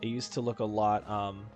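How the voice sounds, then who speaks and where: A man's voice: fairly low pitch, gravelly. A man giving casual live gameplay commentary as a streamer, informal and rambling, often correcting himself mid-thought. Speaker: game streamer.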